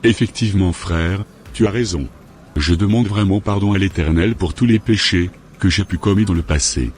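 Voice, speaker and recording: Low-pitched straying husband, acted by a man. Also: normal speed, darkish tone, a little hiss or hum, lightly acted. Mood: repentant. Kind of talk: scripted cartoon dialogue.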